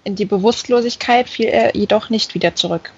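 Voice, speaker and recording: A woman's voice: medium pitch, fairly quick, darkish tone, slightly rough, lo-fi sound. A woman reading a novel aloud as scripted audiobook narration. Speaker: audiobook reader.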